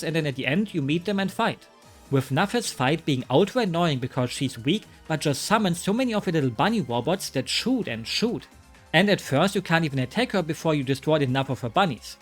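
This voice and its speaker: A man with a fairly high voice talks through a game review in a scripted, offhand way, dropping wry jokes at his own expense. Soft music plays quietly underneath. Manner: wry, joking asides. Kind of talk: scripted commentary.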